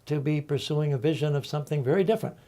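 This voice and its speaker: Older man panel guest, mellow tone, reflective and halting, lots of ums. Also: a little high. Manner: thoughtful, halting, many ums and uhs. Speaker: panel guest, college faculty member.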